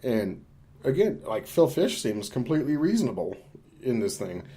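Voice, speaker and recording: A man's voice: medium pitch, big pitch swings, moderate pace, dark tone. A man co-hosting a podcast, discussing film casually in a hesitant, rambling, conversational way. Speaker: podcast co-host.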